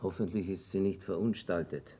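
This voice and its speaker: A man's voice: low-pitched, normal speed, mellow, a little hiss or hum, lightly acted. An actor playing a solemn man of the army camp in a radio drama. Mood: grave. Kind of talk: German radio drama acting.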